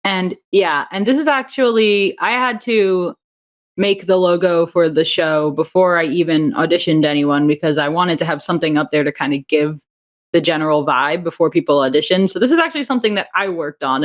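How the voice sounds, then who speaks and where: A woman's voice: on the low side, a little fast. A woman guest on a podcast, an audio drama creator, talking chattily with many fillers. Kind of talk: casual interview chat.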